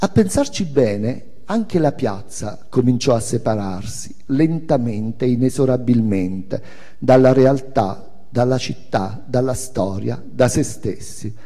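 An older man gives a theatrical reading of a memoir in a reverberant hall. His voice is mellow and mid-pitched, with wide, expressive swings in intonation. He shapes each phrase with deliberate pauses and emphasis. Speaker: author and performer reading own memoir on stage.

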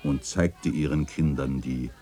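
A man acting a genial, bookish bachelor in a literary radio play, speaking in a courtly, formal and expressive way. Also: a bass voice, unhurried. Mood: wistful, observant. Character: genial bachelor neighbour, bookish storyteller of old Germany.

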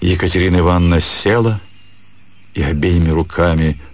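A man narrating a literary story for radio in a measured, expressive storytelling manner. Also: sits low. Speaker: narrator, actor reading literary prose. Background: music under the voice.

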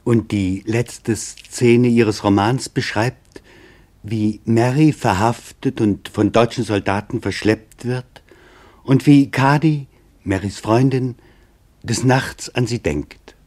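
An actor playing a grave, measured chronicler, narrating a German radio drama in a solemn, deliberate way. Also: male voice, medium pitch, darkish tone. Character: grave, measured male chronicler reporting witness testimony.

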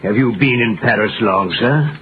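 An actor playing an uneasy, deferential French banker in a formal, hesitant manner, in the style of 1930s radio drama. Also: male voice, mid-range voice, normal speed, close to an everyday voice. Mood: formal, cautious. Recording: scratchy, tinny vintage radio recording.

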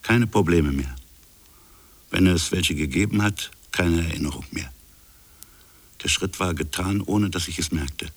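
A brooding colonial official in an introspective radio play. His voice is very low and creaky, with a husky grain. He muses haltingly, in broken phrases, as if searching his memory. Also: fairly bright tone, heavily acted. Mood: reflective, searching. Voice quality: gravelly, husky. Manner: halting, musing, fragmented phrases. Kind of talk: introspective radio drama monologue.

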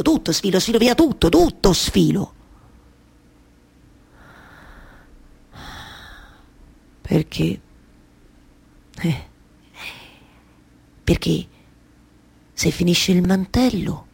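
An actress playing an anguished, obsessive waiting wife in a theatrical monologue, with a Roman accent and repetitive phrases that halt and then rush. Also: female voice, medium pitch. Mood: obsessive, agitated. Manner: repetitive, halting then rushing, colloquial dialect storytelling. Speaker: stage actress. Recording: live music behind the voice.

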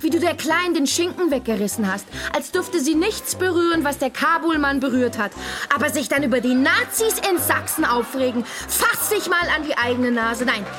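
Radio play role: forthright, needling woman, high animated voice, pointed and challenging. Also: moderate pace, music in the background. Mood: reproachful. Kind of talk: naturalistic German radio play acting.

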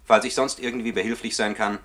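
An actor playing an officious male records clerk who dictates memos in a formal, measured, bureaucratic way for a German radio play. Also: on the low side, level tone, a bit bright, natural voice. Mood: confidential, official.

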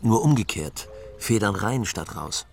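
A man's voice: on the low side, expressive, a little slow, gravelly. An actor playing a blunt, wry man recounting events in a dry, interview-like radio drama narration. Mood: dry, exasperated. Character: blunt, wry male friend recounting funeral arrangements.

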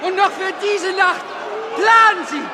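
An agitated young revolutionary orator in a radio drama, fiery and frightened. He shouts at a very high, strained pitch amid a roaring crowd and heavy street noise. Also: measured pace.

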